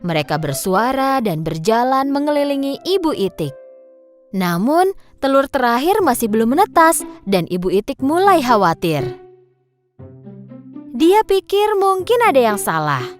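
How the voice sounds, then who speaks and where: A woman narrating a children's story in an expressive, scripted storybook style. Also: high voice, a little slow. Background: music.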